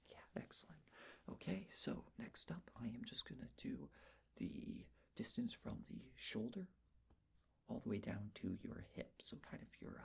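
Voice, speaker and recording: A man whispers through an ASMR roleplay in a very breathy, husky voice. Creaky fry runs through his words. He gives gentle, reassuring instructions one step at a time, as if attending personally to the listener. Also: medium pitch.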